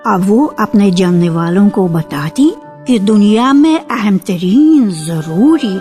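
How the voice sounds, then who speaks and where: A woman narrating a literary radio drama in an expressive, deliberate storytelling style, lingering on key words. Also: medium pitch. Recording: archival radio broadcast with faint music. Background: faint music.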